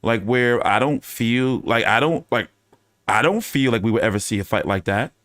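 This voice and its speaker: A man's voice: mid-range voice, lively intonation, normal speed, fairly bright tone, no room sound, studio quality. A man hosting a boxing news channel in casual fan chat, talking loosely with cursing and repeating his phrases.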